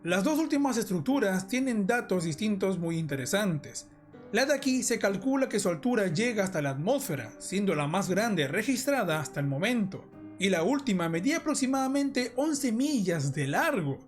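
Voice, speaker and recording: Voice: medium pitch, sing-song, normal speed, faint music behind. A commentator explaining and speculating in an analysis of a horror video, addressing viewers directly.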